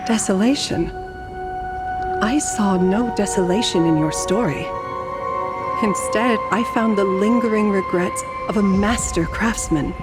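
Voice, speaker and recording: A woman voicing a serene, millennium-old painter and guardian in a video game, calm, formal and lyrical. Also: medium pitch, unhurried, lightly acted. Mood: tender, solemn. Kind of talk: poetic scripted game dialogue. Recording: music under the voice.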